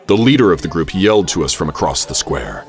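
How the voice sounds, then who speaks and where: Low-voiced, theatrical adventurer professor. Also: male voice, sing-song, vocal fry, exaggerated voice. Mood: dramatic. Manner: measured, literary, theatrical storytelling. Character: adventurous, wary gentleman professor recounting his exploits. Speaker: narrator and lead character. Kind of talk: radio theater, dramatic first-person narration. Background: music.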